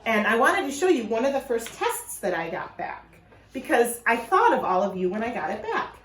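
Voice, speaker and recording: Lively higher-voiced woman, teacher talking to kids. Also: moderate pace. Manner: addresses children directly, asks them questions. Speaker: elementary school teacher. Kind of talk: informal video message and read-aloud for pupils. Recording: echoey room.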